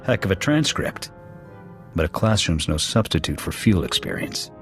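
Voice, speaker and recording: Deep, gruff American military officer. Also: male voice, sing-song, normal speed, a bit bright. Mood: blunt, proud. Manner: clipped, blunt military delivery. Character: gruff, proud alien Cathar military officer, blunt veteran sniper. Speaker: soldier serving as second to his commander. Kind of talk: video game dialogue acting. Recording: background music under the dialogue.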